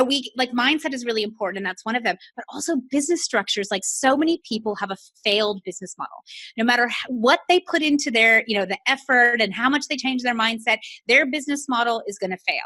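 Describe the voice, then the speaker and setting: A woman with a North American accent, a business coach chatting casually on a podcast, animated and often laughing. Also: a little high, no echo. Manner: animated, laughs often, uses many fillers.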